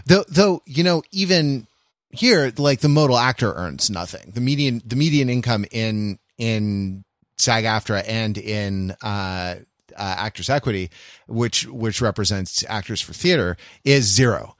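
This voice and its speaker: A man's voice: fairly low pitch, fairly bright tone, no echo. Man musing in a dry close voice. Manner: thoughtful, halting explanation with many restarts. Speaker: podcast co-host. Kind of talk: casual chat.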